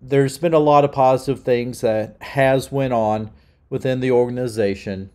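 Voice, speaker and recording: A man's voice: medium pitch, fairly even intonation, normal speed, mellow. Heated nasal man with a cold. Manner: heated, emphatic. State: sick, nasal. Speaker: channel host. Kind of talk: opinion rant.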